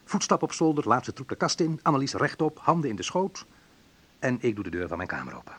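A man's voice: mid-range voice, very animated, fast, a bit bright, breathy edge. An actor playing a wry, sensitive young man who recalls his student love life in an intimate first-person radio play monologue. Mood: tense recollection.

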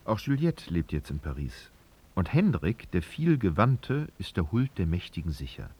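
A man narrating a literary radio drama in a husky voice, with dramatic, sardonic storytelling. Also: low-pitched, big pitch swings, moderate pace, a little mellow, exaggerated voice.

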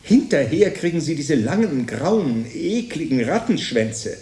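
An actor playing a nagging, admonishing voice of conscience in a radio drama, speaking emphatically. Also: male voice, on the high side, big pitch swings, a little slow, warm tone. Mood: disgusted. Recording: echoey room sound.

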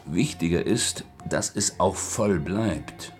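An actor in a German radio play playing a shrewd, chatty restaurant manager who calculates aloud in colloquial short phrases. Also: male voice, sits low, animated, slow pace, faint music behind. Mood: calculating.

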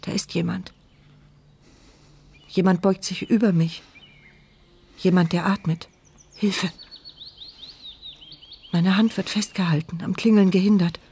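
A woman's voice: fairly low pitch, fairly even intonation, unhurried, pauses often, mellow, slightly rough. An actress playing an anxious, wry paralysed woman who narrates her inner thoughts in a radio crime drama. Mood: frightened. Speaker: first-person narrator. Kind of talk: German radio crime drama, interior monologue.

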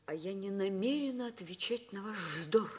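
A woman's voice: mid-range voice, expressive, moderate pace, slightly rough. An actress playing a proud, wounded young woman of principle in a theatrical 1950s Soviet radio drama. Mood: indignant, scornful.

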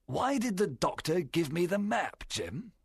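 A man voicing a fierce, cunning pirate captain with a strained, gravelly rasp and theatrical, commanding delivery in a storybook reading. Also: high voice, very animated, unhurried, a little mellow, pitched above the performer's own voice, exaggerated voice. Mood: sly, conspiring. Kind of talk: dramatized audiobook character voice.

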